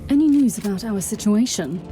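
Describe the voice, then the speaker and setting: A woman's voice: medium pitch, expressive, fairly quick, music in the background, lightly acted. An actress voicing a stern, zealous female warrior inquisitor in a video game, cold and commanding.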